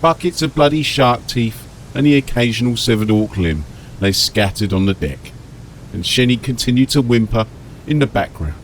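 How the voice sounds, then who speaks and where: A man reads dramatic audiobook narration at a medium pitch. A faint rumble of noise sits behind his voice. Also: expressive, normal speed, low-quality recording.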